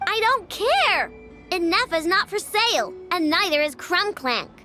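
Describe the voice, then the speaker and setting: Game role: fiery kid inventor, very high and lively, indignant, demanding. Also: female voice, a little slow, soft background music, full character voice. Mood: indignant, defiant. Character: feisty indignant young girl inventor. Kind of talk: video game voice acting.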